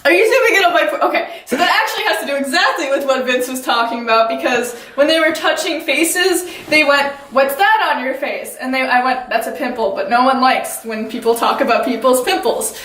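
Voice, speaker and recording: High-voiced young woman, chatty. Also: fairly quick, few pauses, a bit bright. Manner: chatty, lots of "like". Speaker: student tutor presenting. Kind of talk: casual chatty presentation. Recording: echoey room, voice from a distance.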